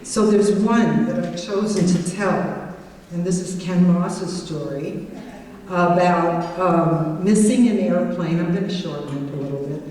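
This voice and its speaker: A woman's voice: fairly low pitch, a little slow, mellow, slight background noise. A middle-aged woman, a judge, giving a banquet tribute speech with self-deprecating humor, heard from a distant mic in a hotel ballroom. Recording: echoey hall recorded from afar.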